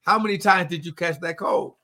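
Bright-voiced preaching man. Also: high-pitched, brisk. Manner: preacherly, emphatic, calls to listeners. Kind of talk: preaching conversation.

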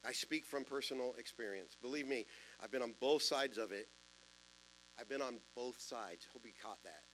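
A man in his fifties with a deep voice, preaching a sermon in a church in a conversational way, with self-deprecating jokes and asides. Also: normal speed, a bit bright, slight background noise, low-quality recording. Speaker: pastor. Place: church sanctuary.

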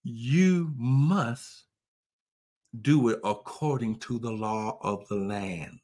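A man's voice: medium pitch, very slow, mellow. A man in a faith talk conversation, speaking in a preacherly way, quoting scripture and building to emphatic peaks.

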